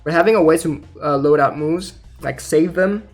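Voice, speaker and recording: A young man giving casual, informal game news commentary as a YouTuber, thinking out loud in a hesitant way. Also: on the high side, moderate pace. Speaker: gaming YouTuber. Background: music.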